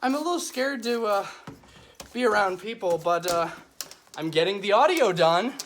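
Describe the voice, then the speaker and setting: A man's voice: high voice, expressive, normal speed, fairly bright tone. A young man playing an intense, demanding student audio director in a mockumentary. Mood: nervous, determined. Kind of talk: acted mockumentary. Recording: background noise.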